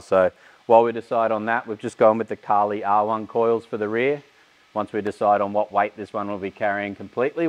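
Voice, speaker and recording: Man, thirties, low voice, casual, workshop walkaround, um-filled. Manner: casual, informal, with frequent "um" fillers. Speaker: workshop host. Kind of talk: walkaround vehicle tour. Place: large vehicle workshop indoors.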